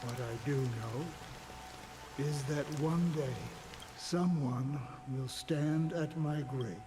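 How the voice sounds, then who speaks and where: Game cutscene: a tired, resigned ranger, slow measured lines, steady rain behind. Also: male voice, a little high, background noise. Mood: weary. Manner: slow, reflective, heavy with resignation. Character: weary, world-worn older male ranger. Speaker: game character.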